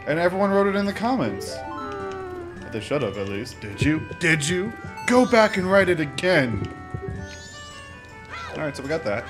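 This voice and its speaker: A man hosting a let's play with casual, rambling gameplay commentary, often interrupting himself with joking asides. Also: on the high side. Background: video game music.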